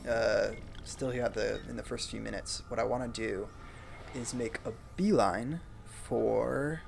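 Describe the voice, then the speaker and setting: A young adult man streaming a game from home, chatting casually and reading viewer comments aloud in a soft, self-deprecating way, speaking into a desk microphone. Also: mid-range voice, unhurried, gravelly, slight background noise. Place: home room. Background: game music.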